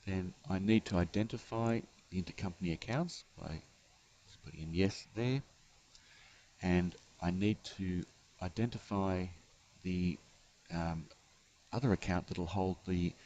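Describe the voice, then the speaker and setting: A man giving an unscripted software tutorial, explaining step by step in a halting way as the developer. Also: low voice, low-quality recording. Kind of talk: unscripted screencast tutorial narration.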